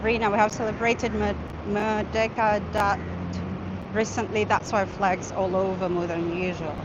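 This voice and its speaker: A woman's voice: medium pitch, little pitch movement, normal speed. A chatty woman from Indonesia streams live as she walks a busy city street, thinking aloud with plenty of ums. Her phone audio is a little muffled. Heavy traffic roars around her the whole time. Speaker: travel vlogger. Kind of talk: livestream walking tour, reading viewer comments. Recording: slightly muffled with loud traffic.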